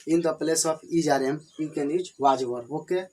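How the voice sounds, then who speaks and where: An Indian-accented man, a native Hindi speaker, gives a whiteboard lesson on English grammar. His voice sits a little high for a man. He talks in simple phrases and goes over each point again and again. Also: moderate pace, dark tone. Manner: repetitive, plain explanations. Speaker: English teacher.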